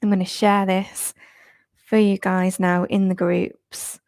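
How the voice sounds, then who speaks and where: A woman, a fitness instructor, chatting upbeat and slightly croaky on a live stream, laughing often. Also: medium pitch, level tone, takes it slowly, darkish tone, no room sound. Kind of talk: live-stream chat with viewers. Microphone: new clip-on microphone.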